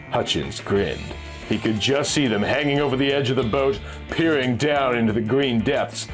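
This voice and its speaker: Guy reading old pulp adventure story, suspenseful, really animated pitch, some music underneath. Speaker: narrator reading a vintage magazine story. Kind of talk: dramatic scripted narration of pulp adventure fiction. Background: background music.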